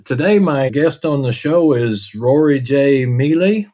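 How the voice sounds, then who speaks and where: A man's voice: medium pitch, expressive, measured pace, dark tone. A man with a Texas accent hosting a podcast interview in an easygoing, friendly way. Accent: Texan. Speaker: podcast host.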